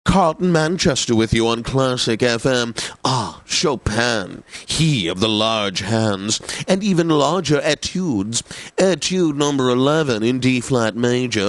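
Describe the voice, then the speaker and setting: Man acting as snobbish male classical DJ, game radio, grand flowery announcing, medium pitch, jovial, dry recording. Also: no echo, lightly acted. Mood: grandly announcing. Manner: pompous, snobbish, theatrical, flowery diction.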